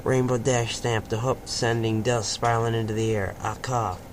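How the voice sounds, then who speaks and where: A man's voice: medium pitch, flat delivery, moderate pace, background noise. A man reading first-person fan fiction aloud as the story's narrator in a scripted, dramatic style.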